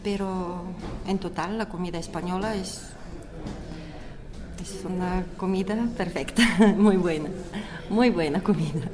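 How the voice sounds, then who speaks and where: A young woman from Bulgaria with a Bulgarian accent, answering interview questions in slightly halting non-native Spanish. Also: fairly low pitch, steady tone, crowd noise. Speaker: interview guest.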